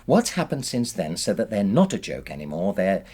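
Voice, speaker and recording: Aggrieved middle-aged British husband, rough-edged voice, very animated pitch, indignant, self-justifying, radio drama. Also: male voice, moderate pace, full character voice. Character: aggrieved, defensive middle-aged husband, former rugby star.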